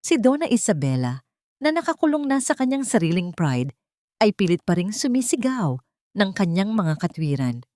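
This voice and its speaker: A woman reading a scripted dramatic story as an audiobook narrator, in a measured, solemn storytelling manner. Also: medium pitch, sing-song, dark tone, no echo, clean studio sound.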